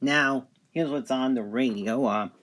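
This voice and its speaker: A man giving an unscripted product review, speaking haltingly in short phrases with pauses between them. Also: medium pitch, fairly bright tone. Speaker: gadget reviewer. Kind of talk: unscripted product review, describing features on camera.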